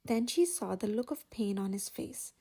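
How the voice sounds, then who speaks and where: A woman with a breathy voice reading a children's story aloud in an expressive way. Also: medium pitch, moderate pace. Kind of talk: expressive scripted read-aloud narration for children.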